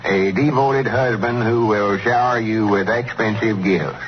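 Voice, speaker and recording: A man plays a folksy, scheming storekeeper in a vintage radio comedy. His voice is low, with a rural Southern American drawl and a self-important, persuasive manner. The old recording is dull and clearly noisy. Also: unhurried, natural voice. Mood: grandly reciting.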